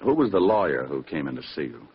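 An actor playing a wisecracking ex-cop private detective with a wry manner in a vintage radio drama. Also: male voice, rumbling low, very animated, quick pace, slightly rough, full character voice. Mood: probing. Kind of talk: 1940s-50s radio detective acting. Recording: muffled, hissy old radio broadcast.

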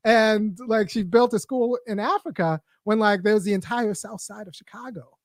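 A woman hosting a casual political chat, talking fast and animated and often laughing. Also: mid-range voice, dry recording, clean studio sound. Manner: animated, laughing, acts out quotes. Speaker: podcast host.

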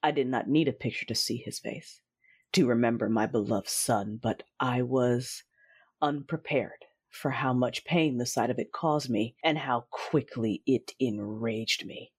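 An actor playing a cruel, vengeful Sith lord, narrating an audio drama in a deliberate, commanding, menacing way with a husky voice. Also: medium pitch. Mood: grieving rage. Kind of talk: first-person audio drama narration with dialogue. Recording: dramatic music behind the voice. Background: music.

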